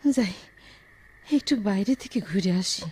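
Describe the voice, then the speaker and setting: A woman's voice: mid-range voice, animated, unhurried, darkish tone, breathy edge. A woman playing a loving elderly village mother and grandmother in a Bengali audio drama, tender and plaintive, with a rural Bangladeshi accent. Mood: restless, lonely.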